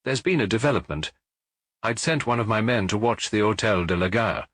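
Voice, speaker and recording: A man voicing an earnest, deferential provincial police inspector who explains things briskly in an audiobook. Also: on the low side, expressive, fairly bright tone, no room sound. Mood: polite, brisk.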